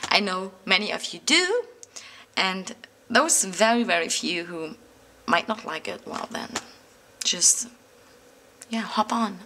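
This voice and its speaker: A woman's voice: medium pitch, sharp tone, slight background noise. A woman with a German accent from Berlin, a native German speaker, rambling in casual English with many fillers and restarts to her planner channel's viewers. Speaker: planner vlogger. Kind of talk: casual talk to camera. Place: indoors at a table, overhead camera on hands.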